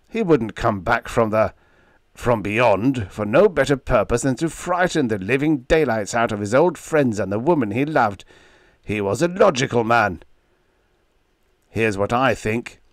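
A man voicing a gruff, stubborn older ship captain who talks in a snapping, blustery way. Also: mid-range voice, no echo, clean studio sound.

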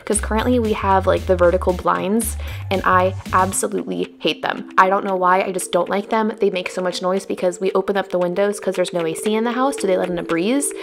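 A woman's voice: medium pitch, steady tone, fairly quick, vocal fry. A young woman in her twenties, chatting casually and asking her viewers questions while narrating a clean-with-me video at home. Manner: chatty, upbeat, asks viewers many questions. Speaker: lifestyle vlogger. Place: home, kitchen and bathroom. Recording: narration over loud background music.

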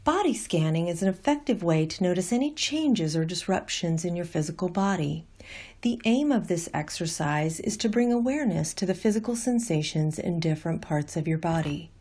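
Soft-edged, mellow woman guiding meditation. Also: on the low side. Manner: gentle, unhurried, calm instruction. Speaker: meditation instructor. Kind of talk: guided meditation, scripted narration.

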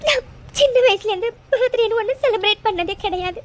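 An actress playing a young orphaned woman who talks through tears, halting and repeating her words. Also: extremely high pitch, darkish tone, a little hiss or hum. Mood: tearful. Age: young adult. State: crying. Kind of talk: romantic drama acting.

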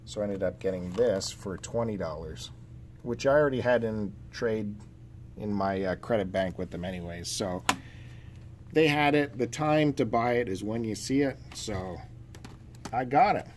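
A man's voice: fairly low pitch, expressive, normal speed. A man speaking in casual, off-the-cuff chat as he shows off purchases, recorded indoors on a handheld phone. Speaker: podcast co-host showing collection pickups. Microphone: handheld phone camera mic. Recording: noticeable background noise, amateur phone video.

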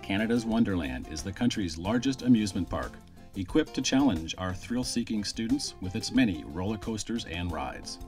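A man reading a scripted promotional voice-over in a smooth, even delivery. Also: on the low side, moderate pace, over music. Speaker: promo narrator.

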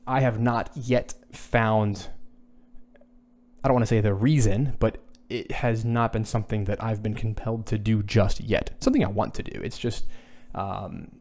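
Low-voiced man chatting from a home studio. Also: steady tone, normal speed, darkish tone. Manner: thinks aloud with many fillers and restarts. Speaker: music composer answering viewer questions. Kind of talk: casual livestream Q&A. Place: small home studio, indoors. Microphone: boom studio microphone, headphones on.

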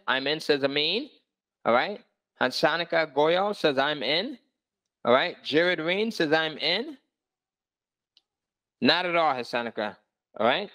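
A man's voice: medium pitch, a bit bright. A man hosting a live sales webinar, pushing viewers to join his course and reading out their comments, often tagging on "all right?". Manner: pushy, upbeat, reads out viewer comments. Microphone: voice-over on screen-share slides.

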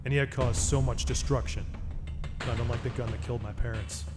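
A man's voice: mid-range voice, fairly even intonation, fairly quick, over music, natural voice. A man plays a driven masked detective in a noir radio drama. He is grim and terse, pressing hard like an interrogator and brooding as he narrates.

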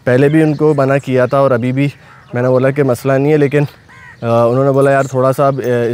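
A man's voice: medium pitch, darkish tone. A young man with a Pakistani Urdu accent, a cattle farm owner chatting casually and enthusiastically from behind the camera outdoors. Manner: enthusiastic, repeats blessings often. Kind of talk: casual vlog narration.

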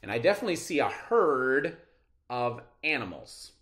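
A middle-aged man teaching a read-aloud reading lesson to children in a deliberate, expressive, explanatory way. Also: mid-range voice.

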